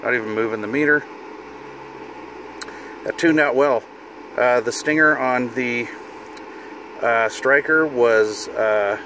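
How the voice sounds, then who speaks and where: A middle-aged man with an average-pitched voice gives an informal hands-on demo, sometimes muttering to himself as he works. He is recorded inside a vehicle, with clear air and car noise behind him. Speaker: hobbyist video host demonstrating radio gear. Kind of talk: casual hands-on demonstration. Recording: noticeable car and fan noise.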